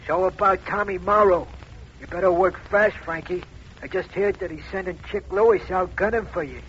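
An actor playing a furtive male gangland informant who passes on a hushed, hurried tip, in an old radio drama. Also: high voice, slight background noise. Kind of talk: 1940s radio acting. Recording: dull lo-fi old radio recording.

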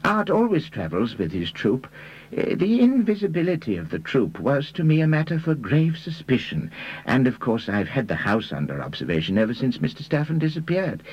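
A man voicing a mild, fussily polite detective in an audiobook, hesitant and apologetic with sly irony and drawn-out, pedantic phrasing. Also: mid-range voice, very animated, husky, no room sound, muffled recording, pitched above the performer's own voice. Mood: apologetic, fussy. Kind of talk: audiobook character voice.

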